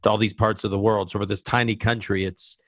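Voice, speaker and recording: A man hosting a live conversation, moderating questions from the audience in a brisk, eager way that keeps jumping ahead. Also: fairly low pitch, flat delivery, a bit bright, no room sound, clean studio sound. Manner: brisk, eager, quick to jump in. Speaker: interviewer and host.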